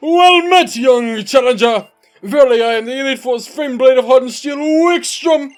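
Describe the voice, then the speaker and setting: A man's voice: very high-pitched, moderate pace, faint music behind, pitched above the performer's own voice. A man voicing a chivalrous, honorable knight from a video game, declaiming pompously in archaic style. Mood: proud, gallant. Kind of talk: video game character reel.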